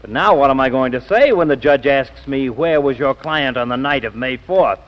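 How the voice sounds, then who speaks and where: An actor playing a skeptical, reproachful lawyer friend in a 1940s radio comedy, with a wry, pointed delivery. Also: male voice, mid-range voice, moderate pace, natural voice. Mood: suspicious. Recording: dull old radio broadcast recording.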